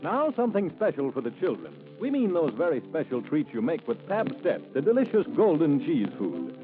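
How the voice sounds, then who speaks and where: A man's voice: fairly high pitch, sing-song, normal speed. A man reading a scripted 1940s radio advertisement in an enthusiastic, polished selling manner. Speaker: commercial announcer. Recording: tinny 1940s broadcast with music.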